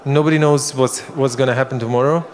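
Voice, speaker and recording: A man's voice: medium pitch, steady tone, slight background noise. A man moderating a conference panel, talking with frequent restarts and fillers. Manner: halting, many restarts. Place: conference hall.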